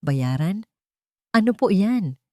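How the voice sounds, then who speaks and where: Lady doing worried wife in a story drama, pleading, soft dark tone, dry sound. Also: mid-range voice, big pitch swings, slow, dry recording. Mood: nervous. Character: anxious, pleading wife and mother confronting her husband.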